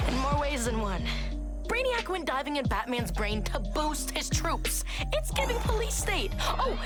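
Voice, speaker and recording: An actress playing a sassy, upbeat young woman streamer in a video game cutscene, over loud music. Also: high-pitched. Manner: chatty, upbeat, playful.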